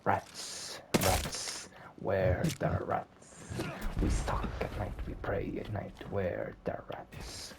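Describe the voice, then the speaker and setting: A woman voicing a sneaky horde of storybook rats in a hushed, breathy chant. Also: a bass voice, animated, very slow, hardly any pauses, whispered, full character voice. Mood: eerie chanting.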